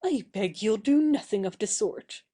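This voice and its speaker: A woman's voice: medium pitch, expressive, normal speed, mellow, natural voice. A woman playing a proud, impulsive young society woman with a theatrical, changeable manner in an audio stage play. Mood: haughty, stern.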